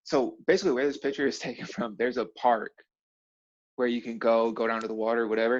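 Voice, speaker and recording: A man chats casually at a medium pitch, spinning anecdotes with plenty of slang and swearing. He laughs easily along the way. The sound is dull and lo-fi, like an online call. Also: fast. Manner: slangy, profane, laughs easily while telling stories. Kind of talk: casual chat, animated storytelling.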